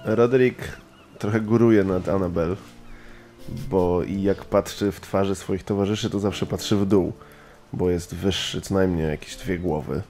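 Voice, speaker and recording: A man player in a tabletop game describing his character, pausing now and then to think. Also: deep voice, warm tone, faint music behind. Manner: thoughtful, with hesitant fillers.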